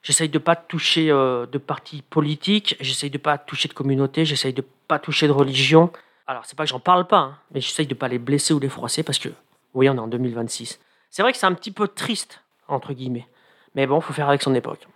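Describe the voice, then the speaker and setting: A man's voice: medium pitch, fast talker, a bit bright. A man who writes comedy columns for the radio, chatting in an interview with plenty of hesitations and fillers. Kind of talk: casual radio interview chat.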